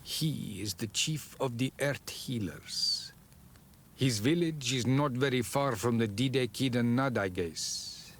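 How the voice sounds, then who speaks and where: An actor playing a wise, solemn elder of an island tribe in a fantasy game, speaking in a measured, ceremonial way. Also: male voice, mid-range voice, a little hiss or hum. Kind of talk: fantasy video game cutscene acting.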